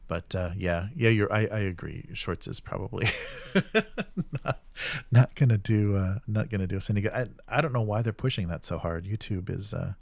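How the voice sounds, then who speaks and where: A man's voice: fairly low pitch, moderate pace, creaky, a little mellow, no room sound. A man hosting a live stream in casual chat, rambling hesitantly and often trailing off mid-sentence.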